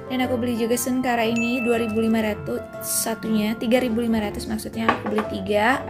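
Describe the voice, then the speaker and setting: A woman vlogger chatting casually through a grocery haul, often pausing to recall prices. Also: fairly high pitch, level tone. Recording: voice-over with clear background music.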